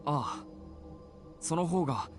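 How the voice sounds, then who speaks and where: A man's voice: on the high side, animated, normal speed, lightly acted. A man playing a wary, reluctant young man in a Japanese visual novel, with a blunt, hesitant manner. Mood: uneasy. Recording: music behind the voice.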